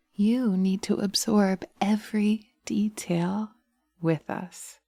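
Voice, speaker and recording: A sly, vengeful witch, played by a woman, coaxes her listener through a hypnosis roleplay. Her voice is unhurried and mellow with a creaky edge, often sinking toward an intimate whisper. She is recorded very close and dry. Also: medium pitch, no echo. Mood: adoring, sly. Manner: slow coaxing, soothing, intimate, often slipping into whispers. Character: sly, seductive, vengeful female witch casting a hypnotic spell. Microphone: close binaural mic, dry.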